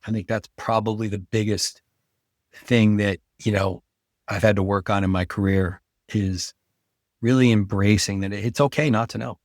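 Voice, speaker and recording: A middle-aged man with a fairly deep voice talks in a casual interview. He is emphatic and repeats phrases to land a point. The sound is dry, with no room on it. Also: steady tone, normal speed, no room sound. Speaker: podcast guest, brand marketing expert.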